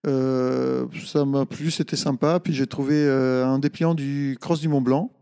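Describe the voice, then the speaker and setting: A middle-aged man from Italy, a native Italian speaker, answering casually in an interview with halting, hesitant phrasing. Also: mid-range voice, steady tone, gravelly, warm tone, smooth, in a large hall. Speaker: interview guest, ultra-trail runner.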